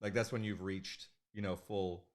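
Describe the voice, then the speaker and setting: A man hosting an online art event with a slightly hoarse voice from the flu, chatting casually and rambling, with lots of fillers and jokes at his own expense. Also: low-pitched, steady tone, moderate pace. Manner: rambling, filler-heavy and self-joking. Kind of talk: casual livestream chat. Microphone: streaming mic.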